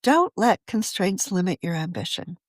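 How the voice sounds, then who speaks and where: Woman reading an article out loud for a podcast, really varied intonation, measured and articulate, dry sound. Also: on the low side, big pitch swings, no room sound. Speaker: magazine editor reading her own article. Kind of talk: scripted article narration for a podcast.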